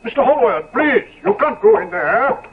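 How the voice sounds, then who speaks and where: An actor playing a deferential, easily flustered English manservant in a 1970s radio drama. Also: male voice, very high voice, big pitch swings, normal speed, heavy background noise. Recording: tinny old radio recording.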